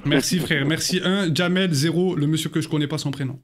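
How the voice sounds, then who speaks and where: A young man streaming from his home gaming setup, teasing strangers on a video chat, deliberately mishearing them and acting offended. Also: on the high side, steady tone. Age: young adult. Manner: plays dumb, mishears on purpose, mock-offended.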